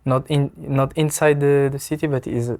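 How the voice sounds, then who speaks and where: A young man in his twenties with a French accent, answering interview questions in halting English, searching for words and laughing easily. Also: medium pitch, little pitch movement, gravelly, a little mellow. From France. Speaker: footballer. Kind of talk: casual interview.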